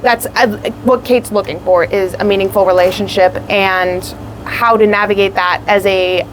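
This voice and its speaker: A young woman who is a puppeteer and actor, answering interview questions in an expressive, rambling way with lots of fillers. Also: medium pitch, moderate pace, a little hiss or hum, poor audio. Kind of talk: casual interview.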